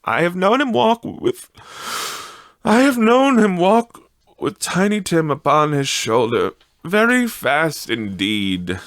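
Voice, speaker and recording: A man voicing a tender, weary, grieving mother in an audiobook story, speaking in a halting, wistful way. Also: on the high side, big pitch swings, fairly bright tone, dry recording, studio quality, voice pushed up, full character voice.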